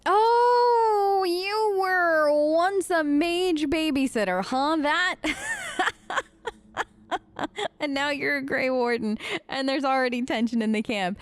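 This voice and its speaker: A woman with a fairly high voice plays and reacts in character as a let's play host. She is informal and wry, recorded close and very dry. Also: no echo. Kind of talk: casual in-character gaming commentary.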